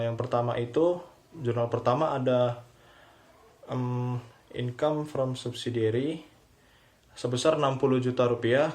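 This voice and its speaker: A man's voice: mid-range voice, a little mellow. A young man giving a student presentation, methodically going through entries with English terms mixed in. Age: young adult. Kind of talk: presentation explaining worksheet entries.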